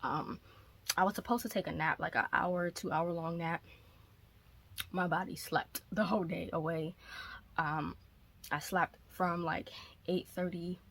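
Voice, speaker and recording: A young woman talks to her viewers in a loose, meandering way, filling her pauses with ums. Her voice sits a little low and sounds stuffy and nasal, as if a cold is setting in. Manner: relaxed, rambling, many ums. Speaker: YouTube ministry host. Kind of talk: casual chatty vlog.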